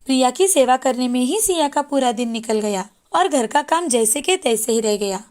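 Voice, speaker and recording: A woman's voice: high-pitched, expressive, normal speed, a little mellow. A woman reading scripted narration for a moral story as its narrator.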